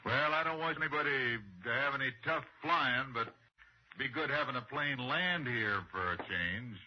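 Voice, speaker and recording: An actor playing a restless, irritable yet good-natured radio operator in 1960s radio drama style. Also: male voice, medium pitch, normal speed, slight background noise, natural voice. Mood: businesslike. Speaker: radio drama narrator and lead. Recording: dull old radio broadcast recording.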